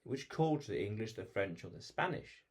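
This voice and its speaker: A man's voice: on the low side, lively intonation, moderate pace, slightly rough. A man vlogging alone, thinking aloud about identity, with frequent restarts and repeated phrases. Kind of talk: reflective solo monologue.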